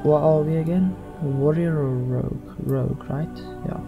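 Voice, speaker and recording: Let's play host: male, unhurried, gravelly edge, thinking out loud over game music. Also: a little high, fairly even intonation, phone-quality sound. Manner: musing, thinking aloud. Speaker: gaming channel host. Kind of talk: casual let's play commentary with some read-aloud roleplay.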